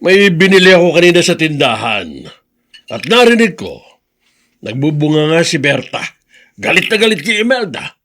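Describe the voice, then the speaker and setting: Spiteful high-pitched old grandfather. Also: sing-song, normal speed, long pauses, a bit bright. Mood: gloating. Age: older. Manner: emphatic, scornful, gloating. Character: bitter, gloating, vengeful old grandfather. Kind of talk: radio drama acting.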